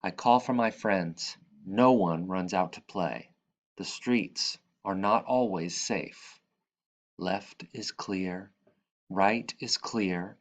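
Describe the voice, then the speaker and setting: A man reading a children's picture book aloud, expressively, with dramatic and deliberate phrasing. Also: sits low. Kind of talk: expressive read-aloud narration.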